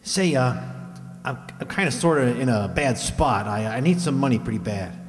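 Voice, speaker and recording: A man playing a nervous, halting contestant in an old-time radio game show. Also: medium pitch, expressive, faint music behind, lightly acted. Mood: nervous, pleading. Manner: hesitant, halting. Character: nervous, hesitant man pleading with a friend. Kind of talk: 1950s radio acting. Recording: reverberant hall.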